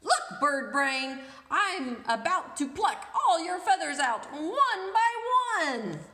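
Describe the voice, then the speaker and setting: A woman's voice: high-pitched, sing-song, takes it slowly, sharp tone, reverberant, long reverb tail, exaggerated voice. A woman voicing a spoiled, greedy young princess from a picture book, barking and snarling her demands. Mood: furious, snarling.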